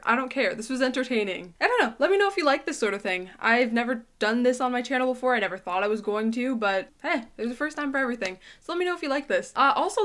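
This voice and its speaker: A woman's voice: a little high, fairly bright tone. A woman hosting a reaction video, speaking casually and emphatically as she gives her opinions. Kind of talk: casual reaction commentary to camera.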